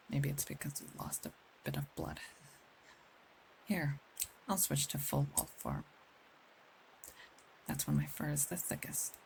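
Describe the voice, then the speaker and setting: A woman plays a protective she-wolf of a werewolf, older than she looks, in an intimate ASMR roleplay. Her voice has a gravelly fry and is gentle, wistful and soothing, with unhurried pauses. A faint hiss sits behind it. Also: sits low, full character voice, low-quality recording. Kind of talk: ASMR roleplay, intimate scripted acting addressed to one listener.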